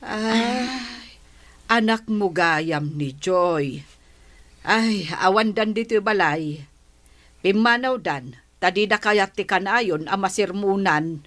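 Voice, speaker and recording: A woman's voice: medium pitch, takes it slowly, a bit bright. An actress playing a resentful, complaining woman in a radio drama, disdainful in tone, with an Ilocano accent. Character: sour, complaining woman, resentful of young couple.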